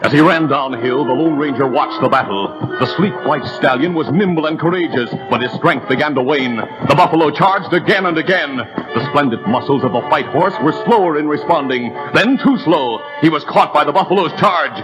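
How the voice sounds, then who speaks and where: A man's voice: on the high side, normal speed, music in the background, low-quality recording. A man narrating a 1930s radio adventure drama with a vivid, building delivery.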